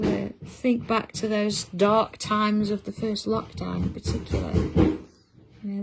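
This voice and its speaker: A woman's voice: medium pitch, creaky, heavy background noise. A middle-aged woman, hosting a craft livestream in casual chat and answering viewer comments as she works. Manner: chatty, reads out viewer comments while working.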